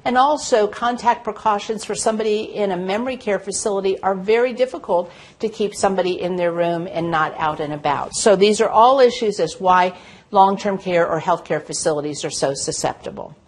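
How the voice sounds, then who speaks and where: A woman's voice: mid-range voice, a little fast. An older woman presenting an instructional health lecture in an explanatory way, stressing key points. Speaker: health educator presenting a webcast. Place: indoor presentation studio at a lectern. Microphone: lapel mic.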